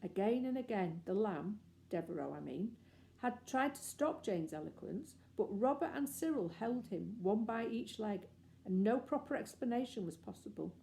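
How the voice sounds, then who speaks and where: A woman's voice: mid-range voice, animated, moderate pace, gravelly, warm tone, slight background noise. A woman narrating a children's story expressively, as an audiobook reader.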